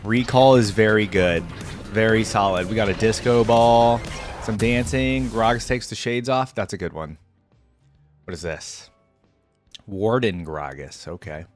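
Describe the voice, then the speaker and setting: A man's voice: on the low side, measured pace, faint music behind. A man giving casual reaction commentary as a video creator, thinking aloud and hedging his opinions as he goes.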